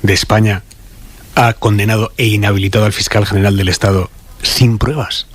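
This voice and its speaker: A man's voice: lowish voice, fairly even intonation, brisk, breathy edge. A man with a Spanish accent hosting a live radio news interview, formal and probing in his questions. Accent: Spain. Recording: faint background noise.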